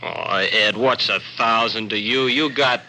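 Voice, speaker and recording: An actor playing a desperate small-time gambler in 1940s radio drama style, pleading in a nervous, halting way. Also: male voice, fairly low pitch, sharp tone. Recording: noisy old-time radio recording.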